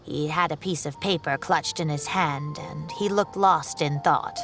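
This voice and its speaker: A woman voicing a genteel, worried older female professor in a video game, with gently fretful exclamations. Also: deep voice, unhurried, over music. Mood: concerned, recounting.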